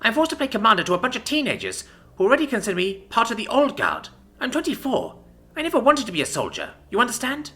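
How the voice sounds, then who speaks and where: A man's voice: high-pitched, wildly swooping pitch, rapid-fire, hardly any pauses, a bit bright, pitched above the performer's own voice, full character voice. A man voicing a bitter, sneering young woman military commander who vents her fury in a profane rant. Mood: furious venting.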